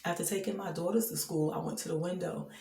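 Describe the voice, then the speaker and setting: A woman's voice: lowish voice, fast, creaky, warm tone, reverberant. A woman telling her faith testimony in an earnest, emphatic way, often laughing between thoughts. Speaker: person sharing a faith testimony. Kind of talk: heartfelt personal testimony, casual.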